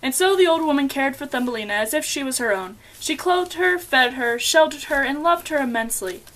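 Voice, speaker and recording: Young woman reading a fairy tale script, pretty high voice, trips on words sometimes. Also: lively intonation, brisk, fairly bright tone, rough recording. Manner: stumbles over words and giggles. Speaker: puppet show narrator. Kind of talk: scripted storybook narration.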